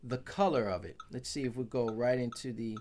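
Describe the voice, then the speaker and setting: Man, drummer, casual gadget review, chatty, self-correcting, mid-pitched voice, talking in an office. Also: brisk, a little mellow, slight background noise. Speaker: percussionist reviewing a metronome. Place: his office.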